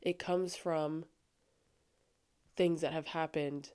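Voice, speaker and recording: A young adult woman with a low voice talking earnestly and casually on her faith podcast, with frequent fillers. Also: steady tone, takes it slowly, mellow, clear, resonant tone. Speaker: podcast host. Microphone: studio microphone.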